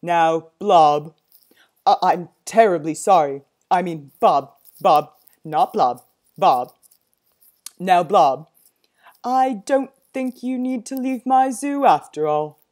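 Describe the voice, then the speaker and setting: A woman voicing a loud, blustering male zoo owner who bellows and gets flustered. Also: fairly low pitch, lively intonation, slow.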